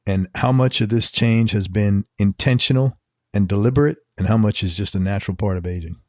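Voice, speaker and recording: A man's voice: fairly low pitch, fairly quick, no room sound. Youthful-voiced man in his fifties, dry sound. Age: 50s. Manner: relaxed, swears freely, calls guest "man" and "bro". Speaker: interview host. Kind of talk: casual conversational interview.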